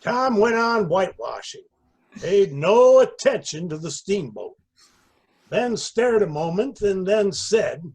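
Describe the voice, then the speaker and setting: A man narrates a story in a high, husky voice. His delivery is slow and theatrical, with a lively, deliberate rise and fall. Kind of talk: dramatic literary narration. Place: indoors on a video call.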